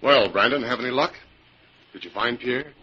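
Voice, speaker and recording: An actor playing a bluff, businesslike mill boss in old-time radio style, hearty and raspy. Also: male voice, medium pitch, normal speed, sharp tone. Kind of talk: 1940s radio acting. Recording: dull old radio recording.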